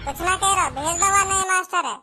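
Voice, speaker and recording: A man voicing an argumentative rustic schoolboy in a comedy cartoon, a pitched-up voice and blunt, repetitive grumbling. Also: brisk, loud music behind the voice. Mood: exasperated complaining. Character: cheeky, argumentative rustic schoolboy in eleventh grade. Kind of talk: comic cartoon dialogue.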